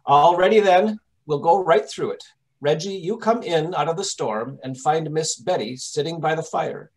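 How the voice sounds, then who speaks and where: A man playing a brisk, impatient gentleman detective giving orders in old-time radio drama style. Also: on the high side, close to an everyday voice, poor audio. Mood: brisk, commanding. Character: brisk, commanding, impatient English gentleman detective directing rehearsal. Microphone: video call.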